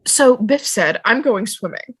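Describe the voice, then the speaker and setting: A woman's voice: a little high, animated, measured pace, breathy edge, heavily acted, poor audio. A woman playing a chatty middle-aged wife, gossipy and emphatic, in a sci-fi table read. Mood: amused, gossipy.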